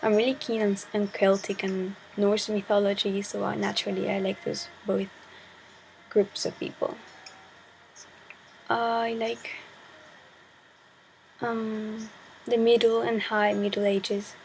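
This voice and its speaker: A woman's voice: mid-range voice, flat delivery, takes it slowly, creaky, long pauses, darkish tone, a little hiss or hum, low-quality recording. A shy teenage girl from Chile, a native Spanish speaker, talking to her camera in a hesitant way and searching for words. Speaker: vlogger. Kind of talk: casual talk to camera.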